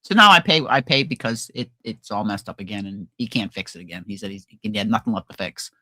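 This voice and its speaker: A woman co-hosting a casual crafting livestream, chatty and animated, often trailing off and restarting. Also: very deep voice, quick pace, dry recording. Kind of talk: casual chat. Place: home livestream.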